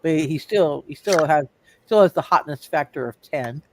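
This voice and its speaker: A man running a tabletop roleplay game, halting and often repeating his phrases. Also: on the high side, a little hiss or hum. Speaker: game master.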